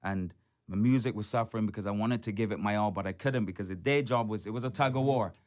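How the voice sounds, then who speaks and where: A man's voice: fairly low pitch, quick pace. A Barbadian soca artist in his forties, telling his story on a radio show in an upbeat way and often saying "man" and "blessed". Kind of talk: radio interview.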